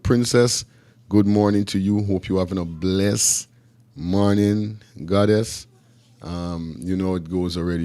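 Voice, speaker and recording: A Guyanese man with a fairly low voice hosts a casual live chat. He talks in slangy street style and circles back over his phrases. The sound is dry, with little room around it. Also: warm tone, no room sound. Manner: repetitive, slangy street talk. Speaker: live stream host.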